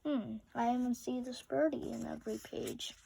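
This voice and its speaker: A woman's voice: a little high, fairly even intonation, moderate pace, creaky, dark tone, slight background noise. A woman reading a children's picture book aloud, expressively, with playful asides. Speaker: storybook reader.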